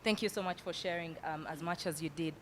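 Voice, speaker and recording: A woman moderating a panel. She has a loud voice and uses lots of fillers. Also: brisk. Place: large event hall. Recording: hall reverb, faint rumble.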